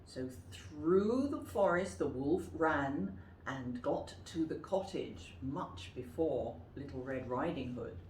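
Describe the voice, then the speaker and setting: A woman reads a story aloud for children, taking her time. Her lowish voice swings widely in pitch for drama. She is recorded in a roomy space at some distance from the mic. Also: slight background noise. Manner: expressive, deliberate, dramatic. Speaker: storyteller, teacher.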